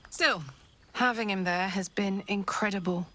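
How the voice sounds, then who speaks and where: A woman playing a brash, warm-hearted, battle-hardened soldier in a video game, with a hearty, blunt delivery. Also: medium pitch, takes it slowly. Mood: fond. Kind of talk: video game character acting.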